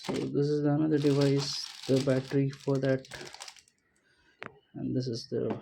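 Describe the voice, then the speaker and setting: A man gives an unscripted gadget walkthrough in a slow, flat delivery. His voice is mellow and middling in pitch. He speaks haltingly, as if working things out aloud, with faint noise behind him. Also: gravelly. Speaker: gadget unboxing vlogger. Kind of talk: casual unscripted product walkthrough.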